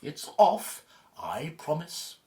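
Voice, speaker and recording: An actor in an audio drama playing an excitable, flippant man on an archaeology team, chatty and quick to exclaim. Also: on the high side, background noise. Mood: excited, cheeky.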